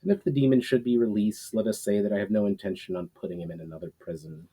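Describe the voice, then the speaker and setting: A man voicing an ancient, forgiving guardian and mentor in a fantasy story, speaking in a solemn, formal, deliberate way. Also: lowish voice, fairly even intonation, dark tone, husky. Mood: grave.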